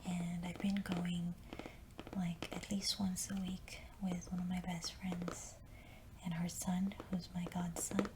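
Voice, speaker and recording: A woman whispering softly in an ASMR video, telling a story with frequent ums. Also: on the low side, little pitch movement, slow, noisy background. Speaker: ASMR creator. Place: Los Angeles.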